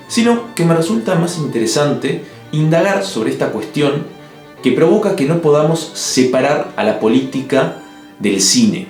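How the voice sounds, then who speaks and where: Un hombre de Uruguay con acento del Río de la Plata, que narra un ensayo en video con opiniones firmes y toques de humor seco. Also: male voice, medium pitch. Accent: Río de la Plata Spanish. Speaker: video essay host. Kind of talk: scripted narration. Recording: echoey room with soft music.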